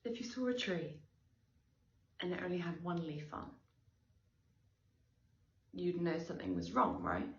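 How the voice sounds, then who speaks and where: A woman speaking softly and asking questions in a gentle, lilting way. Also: fairly low pitch, huge pitch swings, a little slow, pauses often. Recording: distant echoey lo-fi recording.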